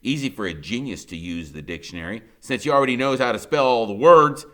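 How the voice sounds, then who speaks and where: A man reading a children's novel aloud in the first person, like a teacher, expressive and colloquial. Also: mid-range voice, a little fast.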